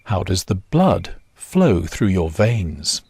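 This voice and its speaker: Slow, husky man guiding meditation. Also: low voice, sing-song, a little mellow, no echo. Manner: slow, measured instruction with short phrases and long pauses. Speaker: meditation guide. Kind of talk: guided body scan meditation. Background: nature sounds.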